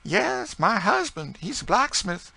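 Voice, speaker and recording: A man voicing a grieving enslaved mother with a rural Southern accent, high and plaintive. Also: big pitch swings, unhurried, vocal fry, pushed and bright, no room sound, full character voice. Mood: sorrowful. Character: frightened grieving enslaved woman, middle-aged mother.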